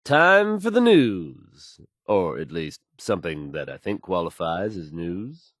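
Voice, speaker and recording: An actor playing a confident, smooth male radio news host in a video game, folksy and dramatic in his announcing. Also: deep voice, very animated, slow, a bit bright, dry recording, studio quality, heavily acted. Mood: composed, wry.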